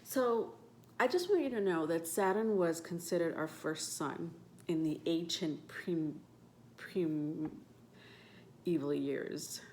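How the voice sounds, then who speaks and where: Stuffed-up woman vlogger with a low voice, loose and rambling delivery, filler-heavy, slight room hiss. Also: slow, a little mellow. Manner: rambling, frequent ums and self-corrections. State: stuffed up nose. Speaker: tarot and astrology vlogger. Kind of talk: casual talk to camera.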